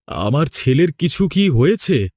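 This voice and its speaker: A man's voice: on the high side, big pitch swings, measured pace, darkish tone, no echo, studio quality. A man playing a sombre, middle-aged villager in a horror cartoon, earnest and subdued. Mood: worried.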